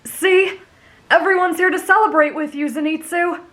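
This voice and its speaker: A woman voicing a kind, naive teenage boy demon slayer with a gentle, sincere way of explaining things, in an anime fan audio roleplay. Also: very high-pitched, steady tone, normal speed. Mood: kind, explanatory.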